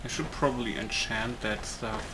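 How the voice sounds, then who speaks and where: A man's voice: lowish voice, normal speed, background noise. A man doing casual let's play commentary of a video game, muttering and thinking aloud to himself. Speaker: gamer playing through a video game.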